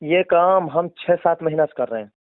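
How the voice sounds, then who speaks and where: High-voiced Mewati man on a narrow phone line. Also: normal speed, no echo. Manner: deferential, agreeing, pleading to be heard. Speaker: accused cleric answering questions. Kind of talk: defensive phone conversation. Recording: narrow tinny phone call recording.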